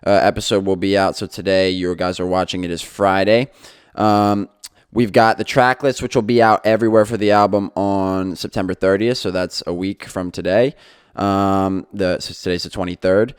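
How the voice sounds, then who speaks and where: A man's voice: sits low, fairly even intonation, moderate pace, clean tone, dry recording, studio quality. A young man hosting his own podcast, talking casually and rambling a little, with frequent fillers and restarts. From England. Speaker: podcast host. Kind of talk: casual solo podcast talk.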